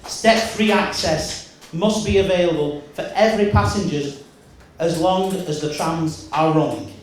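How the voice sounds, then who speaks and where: A man with a strikingly high, carrying voice delivers a forceful campaign speech. He is picked up from well away from the microphone. His words bounce around a very echoey room with faint noise behind. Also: measured pace. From Wigan, England. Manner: loud, emphatic speaking voice with repeated restarts. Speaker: mayoral candidate. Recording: echoey hall, mic from far off.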